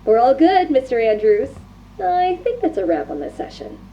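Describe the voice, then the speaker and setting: An actress playing a polite, businesslike assistant wrapping up a recording session. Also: female voice, sits high, huge pitch swings, normal speed, lo-fi sound.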